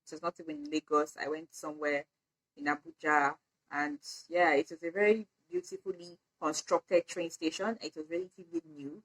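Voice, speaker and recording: A woman's voice: low voice, lively intonation, fairly quick, poor audio. A young Nigerian woman with a Nigerian accent, whose first language is Edo, chatting casually in a get-to-know-me vlog, with frequent "like" fillers and laughs. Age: young adult. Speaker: vlogger.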